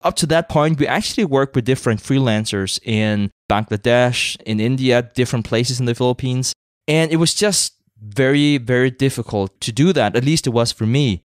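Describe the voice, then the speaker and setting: A man's voice: medium pitch, normal speed, no room sound. Danish man talking, dry close sound. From Denmark. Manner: conversational, uses many fillers and repeated words. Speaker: podcast co-founder, guest. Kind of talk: interview conversation.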